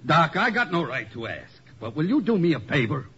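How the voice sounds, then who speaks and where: An actor playing a gruff small-town political boss in 1950s radio comedy, slangy and colloquial with uneducated grammar. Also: male voice, fairly high pitch, sing-song, brisk, fairly bright tone, heavily acted. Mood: cowed. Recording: muffled radio recording, audience behind.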